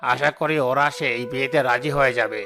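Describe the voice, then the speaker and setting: Man playing a scheming village headman over loud music. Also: medium pitch, fairly quick, creaky, close to an everyday voice. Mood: bossy. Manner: commanding, calculating. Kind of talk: cartoon voice acting.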